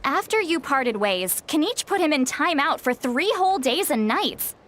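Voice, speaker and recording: A woman playing a proud, sharp-tongued young scholar in a video game, articulate and self-assured as she explains lore. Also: high voice, very animated, moderate pace. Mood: explaining, confident. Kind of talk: video game dialogue, expository storytelling.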